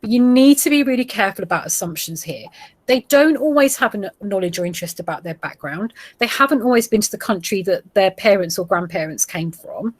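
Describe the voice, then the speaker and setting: A woman in her forties, a geography teacher with a Gujarati heritage, explaining ideas to other teachers in a steady way with small fillers. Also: medium pitch, a little fast, no room sound. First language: Gujarati heritage, English now first. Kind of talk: presentation to teachers.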